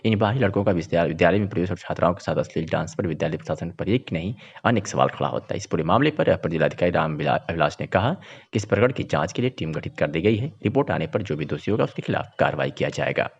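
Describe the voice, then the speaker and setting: A man's voice: low-pitched, animated, fast, breathy edge. A man reads scripted regional news as a reporter. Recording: dull, muffled voice-over.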